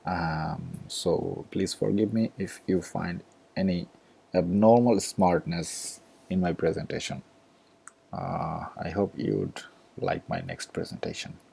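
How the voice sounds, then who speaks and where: A man with a Bangladeshi accent giving a recorded medical lecture over slides, halting, with many fillers and restarts and some offhand sarcastic asides. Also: deep voice, expressive, gravelly, warm tone, slightly rough.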